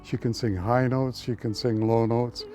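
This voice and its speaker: A man in an interview, speaking in a measured, appreciative way about a singer's voice. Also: medium pitch, fairly even intonation, darkish tone, soft background music. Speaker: supporter of the singer.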